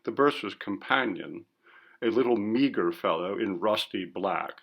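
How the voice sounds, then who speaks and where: A man narrating a classic ghost story as an audiobook reader. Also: lowish voice.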